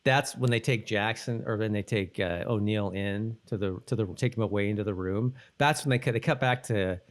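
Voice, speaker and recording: A man co-hosting a podcast, chatting casually and haltingly, repeating words as he thinks aloud. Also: lowish voice. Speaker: podcast co-host. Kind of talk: casual chat about a TV episode.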